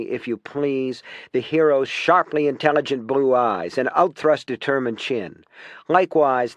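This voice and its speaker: Male audiobook narrator for nonfiction: measured, clearly phrased delivery, average pitch, very dry studio-like sound. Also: no room sound. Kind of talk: scripted audiobook narration of a writing guide.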